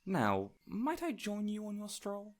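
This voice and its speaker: A man playing a peculiar, eager fey man in an audio roleplay, courteous and theatrical, with flowery, excitable phrasing. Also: high-pitched, measured pace, full character voice. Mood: apologetic, eager. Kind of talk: immersive audio roleplay addressed to the listener.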